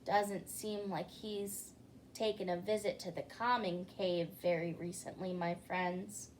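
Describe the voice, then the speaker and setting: A woman's voice: fairly low pitch, flat delivery, a little slow, slight background noise. A woman hosting storytime, reading a children's book aloud theatrically and speaking directly to young listeners.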